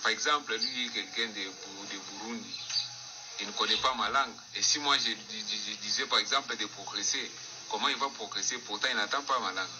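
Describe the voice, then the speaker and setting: A soldier answers questions in a fairly low voice, halting and circling back to explain himself with examples. Loud background noise sits under the interview in a rough field recording. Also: male voice.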